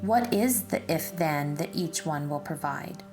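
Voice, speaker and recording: A woman narrating for children in an expressive, deliberate and instructive read-aloud style. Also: low voice, soft background music. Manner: deliberate, instructive, addressing young listeners.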